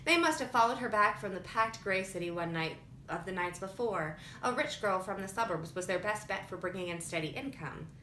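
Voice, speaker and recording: A woman's voice: lively intonation, brisk, hardly any pauses, pushed and bright. A woman reading scripted fiction aloud as an audiobook narrator. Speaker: audiobook reader. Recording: roomy echo with faint rumbling noise.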